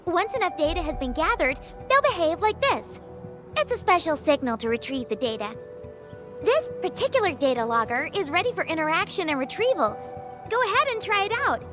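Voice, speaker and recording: A woman's voice: very high voice, very animated, fairly quick. A woman voicing a polite, eager engineering technician who gives instructions in a video game. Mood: upbeat, instructive. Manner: instructive, courteous. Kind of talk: scripted game tutorial dialogue. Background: game music.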